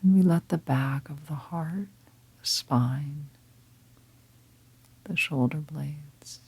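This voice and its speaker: A woman leading a guided meditation in a calm, gentle, breathy half-whisper, unhurried and with long pauses. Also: sits low. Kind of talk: guided meditation, slow soft-spoken instruction.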